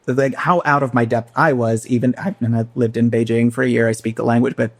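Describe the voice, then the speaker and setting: Male podcast co-host, casual storyteller, mid-pitched, laughs easily. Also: fairly quick. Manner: animated storyteller, laughs often. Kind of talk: casual chat.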